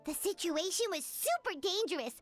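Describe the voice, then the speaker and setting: A woman voicing a tiny, boastful, chatty fairy-like girl companion in a video game, with a squeaky childlike voice. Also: lively intonation, normal speed, soft background music. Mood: boastful storytelling. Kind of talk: animated video game character acting.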